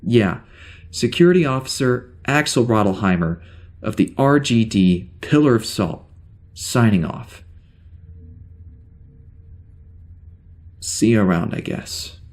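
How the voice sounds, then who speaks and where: A male actor plays a bewildered starship security officer recording an audio drama log. He speaks slowly and haltingly, tripping over his words. His pitch swings widely, and he sounds worn out and unsure of himself. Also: expressive. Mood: exhausted, resigned. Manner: halting, stammering delivery.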